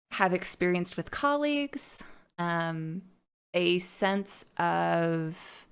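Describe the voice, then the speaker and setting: A woman hosting a podcast, with a fairly low voice that is smooth and resonant yet edged with vocal fry. She asks thoughtful, politely phrased questions. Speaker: podcast host. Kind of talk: interview questions.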